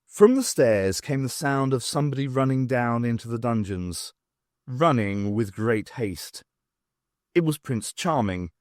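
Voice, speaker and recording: A man's voice: medium pitch, expressive, measured pace, a little mellow, no echo, studio quality. A man reading a science fiction story aloud as scripted audiobook narration. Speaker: audiobook reader.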